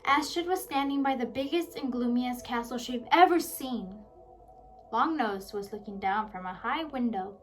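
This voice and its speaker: A woman reading a children's storybook aloud with lively, expressive narration. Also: fairly high pitch, normal speed, faint music behind. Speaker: audiobook reader.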